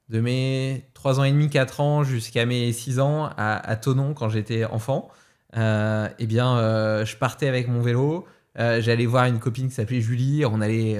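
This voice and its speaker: A man hosts a casual podcast conversation. His voice sits at an ordinary middle pitch. He talks reflectively and hesitates often as he thinks aloud. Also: darkish tone. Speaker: podcast host.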